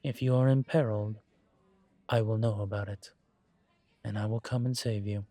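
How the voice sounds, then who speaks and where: A man playing a suave incubus demon professor in a roleplay audio drama, teasing and protective, with a wry, measured delivery. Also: fairly low pitch, fairly even intonation, mellow, no echo, lightly acted. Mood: earnest, protective.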